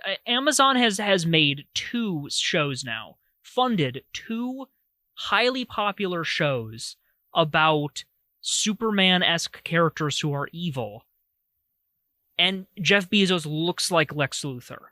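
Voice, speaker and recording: A young podcast co-host with a fairly high, bright, forward voice, talking in animated bursts that stumble over restarts. The sound is close and dry, without room echo. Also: male voice, takes it slowly. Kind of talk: casual chat.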